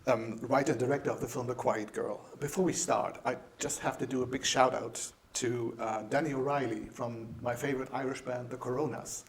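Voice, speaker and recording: A man's voice: medium pitch, steady tone, fairly quick, hardly any pauses, slight background noise. A man interviewing a film director, asking friendly, plain questions.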